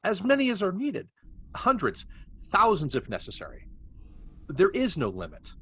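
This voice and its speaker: A man's voice: high voice, wildly swooping pitch, moderate pace, a bit bright, exaggerated voice. A man playing a defensive, ambitious cybernetics scientist, by turns hesitant and insistent. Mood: defiant. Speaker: amateur actor in a table read.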